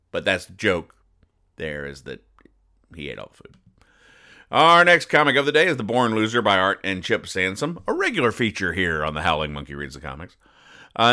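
A man's voice: on the low side, pushed and bright. A man hosting a podcast, explaining comic strips in a conversational, mock-serious, pedantic way with frequent digressions. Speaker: podcast host.

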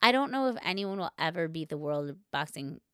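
A woman's voice: low-pitched, lively intonation, brisk, bright, forceful tone, clear, resonant tone, dry recording, clean studio sound. A woman co-hosting a podcast in casual conversation, telling a story in informal, slangy language with lots of "like". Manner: informal, slangy, heavy use of "like".

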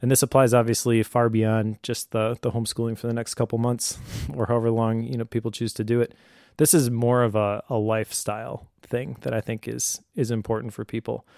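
Mid-pitched man explaining things casually, dry sound. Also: level tone, brisk, creaky, a little mellow, dry recording. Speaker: homeschooling parent giving advice.

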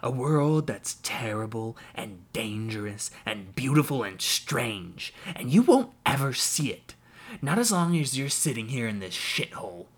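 Guy playing a drunk ranting uncle, shouty and sneering, storybook voice. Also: medium pitch, sing-song, unhurried, husky. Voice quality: loud, snarling, rising to shouts. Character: drunk bitter ranting uncle, middle-aged man. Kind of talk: audiobook character voice.

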